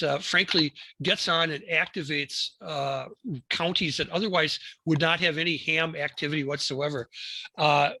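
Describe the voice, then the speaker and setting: An American man giving an informal club presentation, speaking haltingly and often repeating words and phrases as he goes. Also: on the high side, pushed and bright, slightly rough, dry recording, rough recording. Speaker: amateur radio club presenter.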